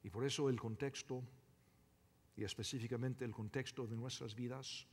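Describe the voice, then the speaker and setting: A man preaching a sermon as a pastor, emphatic and deliberate, often repeating his phrases. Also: medium pitch, fairly even intonation, husky. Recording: reverberant hall with long echo.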